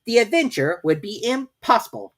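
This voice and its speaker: Storybook male rabbit, played by a woman, pompous schemer, raised pitch, swooping intonation, deliberate lecturing. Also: big pitch swings, fairly bright tone. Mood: solemn, commanding. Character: Rabbit, bossy self-important male rabbit, brisk schemer.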